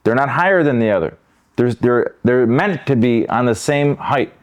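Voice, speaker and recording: A man's voice: medium pitch, expressive, moderate pace, lo-fi sound. A man from Detroit with an American accent, talking conversationally as a podcast host. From Detroit, United States. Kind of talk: casual conversational discussion.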